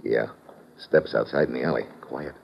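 A man's voice: a bass voice, normal speed, gravelly, highs cut off, full character voice. An actor playing a tough, wisecracking American man on an assignment, in old-time radio adventure style with slangy delivery. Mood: tense, hushed. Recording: noisy vintage radio recording.

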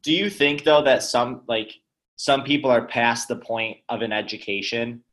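A man from upstate New York hosting a casual conversation, relaxed and asking questions. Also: mid-range voice, normal speed.

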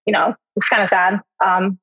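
A woman's voice: medium pitch, flat delivery, moderate pace, fairly bright tone, breathy edge, highs cut off. A woman in her thirties from the Midwest, a podcast guest telling her story in a chatty way, with lots of "like" and "um" and frequent laughs. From US Midwest, Milwaukee. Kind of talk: casual personal storytelling.

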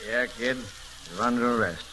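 A man's voice: medium pitch, moderate pace, pushed and bright, natural voice, rough recording. An actor playing a laconic, folksy, fatherly small-town sheriff in an old-time radio drama, on a very hissy recording. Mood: stern, resigned. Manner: terse, folksy.

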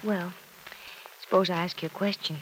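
A woman's voice: on the low side, steady tone, moderate pace, lightly acted, rough recording. An actress in a vintage radio soap playing a sympathetic, perceptive young woman who talks in a thoughtful, coaxing way. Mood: thoughtful, advising. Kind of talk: 1940s-50s radio soap acting. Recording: old radio recording, faint noise.

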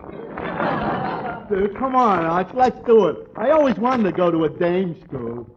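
A slow-witted, amiable lug from 1940s radio comedy. His goofy voice wobbles widely in pitch, through heavy old-recording noise. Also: big pitch swings, dull audio, heavily acted. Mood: eager. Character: dim-witted, good-natured male tavern regular.

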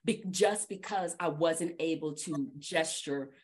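A woman hosting a podcast, giving casual advice in an animated, emphatic way and repeating her key points. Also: sits low, unhurried. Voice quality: trained projecting voice. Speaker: podcast host.

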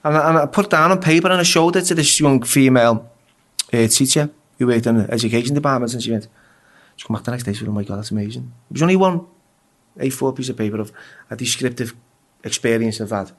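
A man's voice: medium pitch, quick pace. English man telling raw prison stories. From England. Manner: swears freely, stammers and repeats words. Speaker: guest. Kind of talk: casual interview storytelling.

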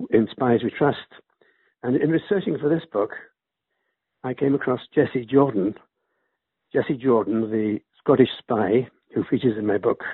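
A man's voice: mid-range voice, slightly rough, no echo. An older man from Wales, an emeritus professor, answering interview questions at length with frequent restarts. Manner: rambling, with restarts. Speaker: guest author. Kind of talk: interview over a call. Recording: muffled phone-like line, dry.

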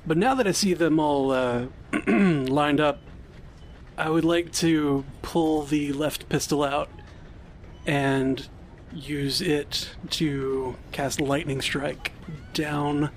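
Slightly high-voiced man playing tabletop. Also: takes it slowly, slight background noise. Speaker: tabletop game player. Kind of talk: casual game talk.

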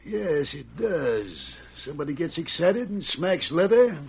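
A husky, gravelly American sheriff of the Old West, speaks in a folksy drawl. His fairly high voice moves around in lively swings as he mulls things over. It sounds like a dull old radio western. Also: unhurried, exaggerated voice. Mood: curious, musing. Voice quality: raspy, gravelly.

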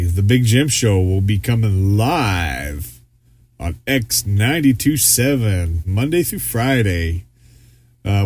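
A man's voice: on the low side, darkish tone, no echo. A man co-hosting a podcast in casual chat, rambling with lots of fillers and restarts. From Arizona, US. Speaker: podcast co-host.

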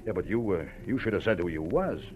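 Brusque streetwise American bartender, muffled sound. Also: male voice, sits low, animated, fast, a little hiss or hum. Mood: placating. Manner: slangy, gruffly familiar barroom talk. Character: brusque, streetwise male bartender, chummy but evasive. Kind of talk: 1940s radio crime drama acting. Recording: dull old radio recording, faint music.

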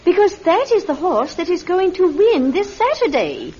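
An anxious British mother in a vintage radio play, genteel, polite and firm. Her voice is high and swings widely in pitch. The recording sounds muffled. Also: wildly swooping pitch, moderate pace, noisy background, full character voice. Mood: hesitant, intent. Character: proper, anxious, hard-up mother with a secret gift. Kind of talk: classic radio drama acting.

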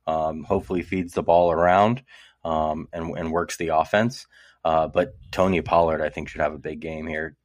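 Male co-host, very low voice, laid-back chatter, dry mic. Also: normal speed, no room sound. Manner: relaxed, conversational, lots of fillers. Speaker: podcast co-host. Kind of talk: casual sports talk.

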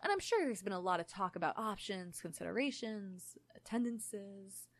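A woman's voice: mid-range voice, very animated, fast talker, close to an everyday voice. Earnest, methodical young man, read by a woman. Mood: ominous, earnest. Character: earnest, matter-of-fact young man explaining odd plans.

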